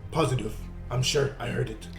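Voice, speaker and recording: A man's voice: mid-range voice, steady tone, a little fast, vocal fry. A young man in casual podcast chat, slangy and joking, recorded in an echoey room with music behind. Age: young adult. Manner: slangy, joking, repeats himself.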